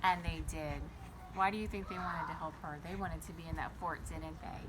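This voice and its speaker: A woman reading a picture book aloud to children like a teacher, pausing to explain and ask them simple questions. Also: low voice, fairly even intonation, very noisy. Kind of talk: storybook read-aloud with explanatory asides.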